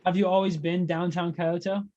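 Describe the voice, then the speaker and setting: Higher-voiced young man interviewing casually. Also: little pitch movement, moderate pace, warm tone, highs cut off. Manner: informal, slangy, agreeable.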